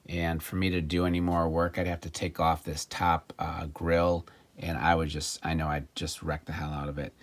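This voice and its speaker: A man talking casually through a hands-on gadget demonstration, musing and thinking aloud. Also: low-pitched. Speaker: vlogger demonstrating and repairing vintage electronics.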